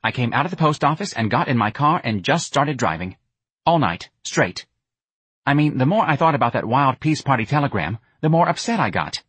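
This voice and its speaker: A man voicing a blunt, intense young woman activist who talks in a flat, direct, slightly hostile way. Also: medium pitch, a little fast, husky, dry recording, pitched above the performer's own voice. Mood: weary, insistent. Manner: brusque, nagging.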